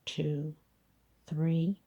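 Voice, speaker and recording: A woman's voice: sits low, flat delivery, drawn-out words, warm tone, husky, lo-fi sound. A woman teaching crochet step by step, counting stitches patiently, her voice congested. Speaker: crochet instructor. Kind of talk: step-by-step craft tutorial.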